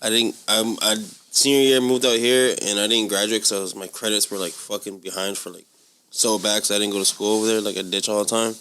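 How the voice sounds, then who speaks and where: A man's voice: on the low side, fairly even intonation, a little fast, a bit bright, clean tone. A young man from California co-hosting a podcast, rambling in a laid-back way and laughing often. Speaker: podcast co-host. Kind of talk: casual chat.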